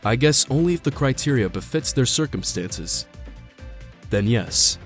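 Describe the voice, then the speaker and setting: A male head biologist acted by a man in an animated news interview. His voice is mid-pitched and very varied in melody, explaining deliberately and officially, with music underneath. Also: lightly acted.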